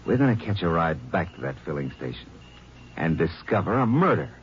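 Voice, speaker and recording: A man playing a gruff, bossy armed robber in a 1950s radio drama, speaking curt and menacing. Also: deep voice, very animated, a little fast. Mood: scheming. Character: gruff male small-time crook, bossy and cold. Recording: hissy lo-fi old radio transcription.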